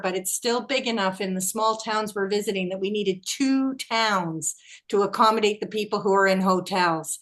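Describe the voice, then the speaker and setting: A woman hosting a casual group video call as tour organizer, chatty and quick to laugh. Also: medium pitch, fairly bright tone. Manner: chatty, laughs often, restarts phrases.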